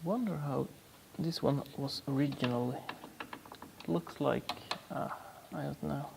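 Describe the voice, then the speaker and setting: A man from Sweden with a Swedish accent, chatting haltingly with many ums and dry jokes while repairing a car part. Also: fairly high pitch, creaky, in a large hall, noisy background. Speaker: DIY car repair vlogger. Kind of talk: casual workshop commentary.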